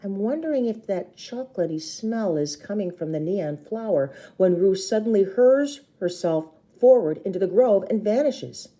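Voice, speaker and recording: A woman reading a children's novel aloud like a teacher, with expressive emphasis and brief explanations. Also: mid-range voice, moderate pace, hardly any pauses.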